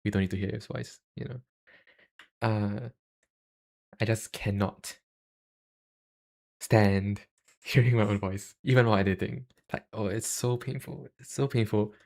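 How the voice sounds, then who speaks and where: A young man with a Singaporean accent chatting casually on a livestream, halting and self-deprecating, speaking into a cheap USB microphone. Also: on the low side, steady tone, gravelly, a little mellow. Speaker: YouTuber and live streamer.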